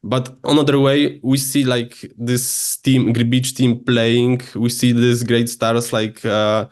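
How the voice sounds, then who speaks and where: A man's voice: medium pitch, flat delivery, slow, a little mellow. Polish man asking rambling questions. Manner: rambling questions with many fillers. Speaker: podcast host. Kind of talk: podcast interview.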